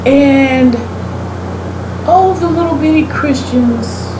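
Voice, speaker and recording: High-voiced preacherly woman, echoey room. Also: takes it slowly. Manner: preacherly, emphatic, rhetorical questions. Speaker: talk show host giving opinions. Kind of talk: opinionated commentary in a casual conversation. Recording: roomy echo, clear air-conditioning-like background noise.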